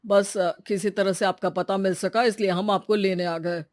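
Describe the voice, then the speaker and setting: A woman acts out a policeman in a story drama, calm and official. The voice is dropped low and stays measured and reassuring. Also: no room sound, studio quality, lightly acted. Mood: calm, grave. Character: calm, official policeman bearing bad news.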